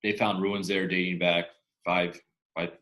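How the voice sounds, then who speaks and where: A man's voice: low-pitched, little pitch movement, a little slow, a bit bright. A man presenting in a casual panel talk, hesitant and often repeating words.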